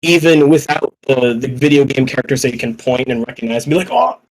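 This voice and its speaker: A man's voice: medium pitch, quick pace, poor audio. A young man casually discussing films on a podcast, rambling and opinionated, with plenty of swearing. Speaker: podcast panelist.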